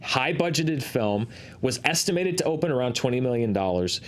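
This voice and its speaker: A man's voice: mid-range voice, expressive, moderate pace. A man speaks as the host of a discussion show. He gives analytical commentary in an explanatory style, circling back and restarting phrases to drive a point home.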